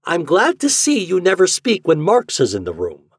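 A man voicing an eager, twitchy, unhinged man in an audiobook story, speaking with urgent, conspiratorial excitement. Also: mid-range voice, unhurried, husky, no echo, clean studio sound, voice pushed up. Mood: nervous, confiding.

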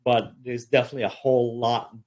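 A man from Egypt, an army chaplain and counselor, talking casually in an interview with frequent fillers and a relaxed, self-deprecating manner. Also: mid-range voice, fast talker.

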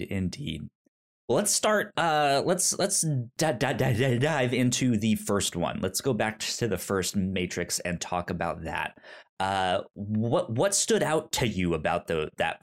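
Guy hosting a movie podcast, kinda low voice, stutters and restarts a lot, excited. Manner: stammering, lots of restarts, enthusiastic. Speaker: podcast host. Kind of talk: casual film discussion.

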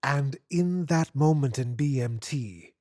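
A man reading literary fiction aloud as an expressive narrator. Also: mid-range voice, slow pace, no echo, clean studio sound. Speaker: story narrator.

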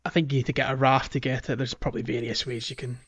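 A man's voice: mid-range voice, flat delivery, quick pace, a bit bright. A man co-hosting a gaming podcast, talking casually and informally with a hesitant delivery. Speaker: podcast co-host. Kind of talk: casual gaming discussion, recapping a video game.

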